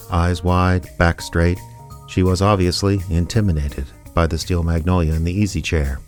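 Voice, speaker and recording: An actor playing a sardonic, reluctant small-town investigator in a radio drama, with a dry, wry, hardboiled delivery. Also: male voice, sits low, a little mellow, lightly acted. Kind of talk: hardboiled detective radio drama narration and dialogue.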